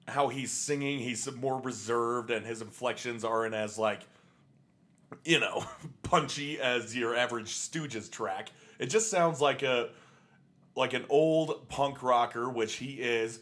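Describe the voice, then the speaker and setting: Podcast co-host, man, casual chat, hesitant and rambling delivery. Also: mid-range voice. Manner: rambling, hesitant, lots of fillers and restarts. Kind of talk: casual chat about punk music. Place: Salt Lake City, USA.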